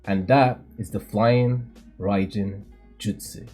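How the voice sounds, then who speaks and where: A man voicing a solemn, cryptic mentor who sees the future, in a theatrical, measured, formal manga read-aloud. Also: lowish voice, steady tone, a little mellow, breathy edge, soft background music. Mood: solemn, instructive.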